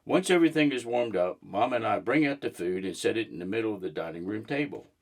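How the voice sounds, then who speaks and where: A man reading a children's book aloud in an expressive storybook narration style. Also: lowish voice, fast talker.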